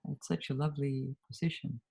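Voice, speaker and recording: Swedish woman in her fifties, soft-spoken. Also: rumbling low, steady tone, a little fast, gravelly, husky. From Sweden. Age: 50s. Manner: warm, earnest, laughs often, soft trailing endings. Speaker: guest, communication trainer. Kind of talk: reflective interview talk over a video call.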